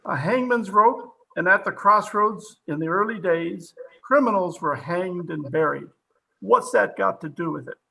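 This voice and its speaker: Man acting a gravelly, high-pitched, brusque police captain. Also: lively intonation, measured pace, sharp tone, full character voice, low-quality recording. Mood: doubtful, puzzled. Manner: brusque, demanding. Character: skeptical, brusque police captain questioning a witness. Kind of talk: old-time radio mystery acting. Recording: home video-call recording.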